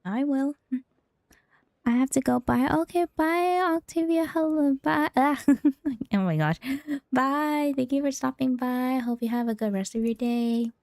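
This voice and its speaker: A woman's voice: high-pitched, unhurried, vocal fry, a little mellow, no room sound, clean studio sound. A woman livestreamer chatting casually with her viewers as she plays a game. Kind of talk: casual chat with stream viewers.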